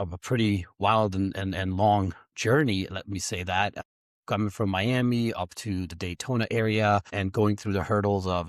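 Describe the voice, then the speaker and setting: A man's voice: low voice, dry recording, studio quality. A man from Hungary, a real estate broker answering interview questions earnestly, often restarting and repeating words.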